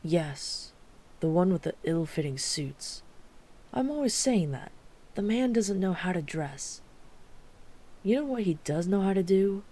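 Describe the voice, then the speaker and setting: Woman voicing a mellow, wry female mafia boss. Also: fairly low pitch, animated, a little slow, long pauses. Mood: wry, explaining. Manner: wry, exasperated, chatty, teasing. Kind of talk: ASMR roleplay audio drama.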